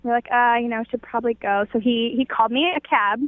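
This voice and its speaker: High-voiced young woman on a tinny phone. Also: steady tone, fast talker, dry recording. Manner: giggly, girlish, gushing. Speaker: radio show caller. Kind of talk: casual chat. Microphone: telephone. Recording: narrow tinny phone line.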